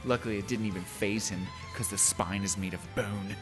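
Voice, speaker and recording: A man's voice: on the low side, a little fast, music in the background. A man doing a theatrical, comedic dramatic reading of a story, exaggerated and full of ad-libbed asides.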